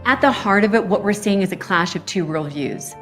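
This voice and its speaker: A woman's voice: medium pitch, expressive, a little fast, a bit bright, faint music behind. Earnest woman narrating a documentary.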